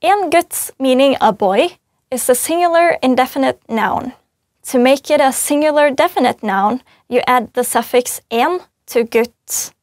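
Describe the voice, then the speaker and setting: A woman from Norway presenting a scripted language lesson, speaking clearly and patiently as she spells out word forms. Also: high voice, unhurried, a little mellow, no echo, studio quality. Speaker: language teacher.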